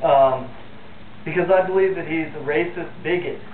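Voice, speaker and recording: A man's voice: a little high, moderate pace. A man hosting a live political phone-in show, speaking off the cuff in an earnest, opinionated way. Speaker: host of a call-in political talk show. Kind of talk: unscripted live phone-in talk. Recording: phone-like, roomy, distant, noisy TV audio.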